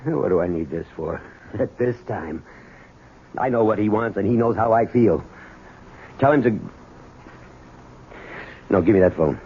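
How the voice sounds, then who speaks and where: An actor playing a troubled, desperate husband in a 1970s radio drama, halting and emotional. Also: male voice, lowish voice, phone-quality sound. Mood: bitter. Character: troubled, desperate husband and small business owner, bitter toward his father. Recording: thin, noisy old radio broadcast.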